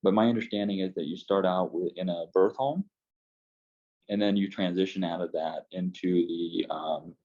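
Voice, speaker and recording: A man's voice: deep voice, moderate pace, warm tone, highs cut off. A man recalling his adoption in an interview, speaking hesitantly with many fillers and restarts. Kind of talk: personal interview.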